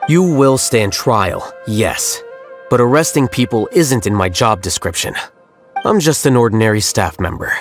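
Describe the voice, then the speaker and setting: A man voicing a cool, aloof young scholar who speaks in a calm, detached and analytical way. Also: on the low side, normal speed, faint music behind. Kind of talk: game voice acting.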